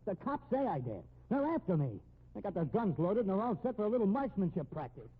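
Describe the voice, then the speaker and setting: An actor playing a desperate fugitive brother in a 1950s radio drama, with hard-boiled slangy delivery on a muffled old recording. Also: male voice, sits high, very animated, fast talker, husky, exaggerated voice. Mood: urgent, pleading. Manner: slangy, hard-boiled tough-guy talk. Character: desperate, cornered fugitive brother, tough small-time crook.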